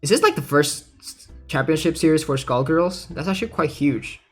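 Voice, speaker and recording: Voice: mid-range voice, moderate pace, husky, soft background music. A gaming content creator giving casual spoken commentary on game news, sometimes reading announcements aloud.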